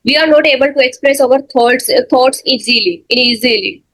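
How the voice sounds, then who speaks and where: A woman speaking English with a fairly high voice, in a hesitant, filler-heavy way as she keeps the practice chat going. The sound is dry and close. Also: a little fast, fairly bright tone, no echo, poor audio. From India. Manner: hesitant, fills with "like" and "uh". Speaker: host of an English practice channel. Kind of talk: casual practice conversation.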